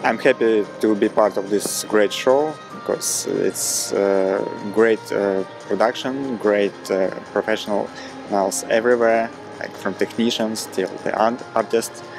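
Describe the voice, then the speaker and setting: A man in his thirties speaking English with a Ukrainian accent, his voice sitting somewhat low. He talks haltingly, with plenty of "uh" and "like" between phrases. Loud music plays clearly under the interview. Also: steady tone. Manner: hesitant, many fillers, warm. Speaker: hand balancing performer. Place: dark studio, seated before backdrop.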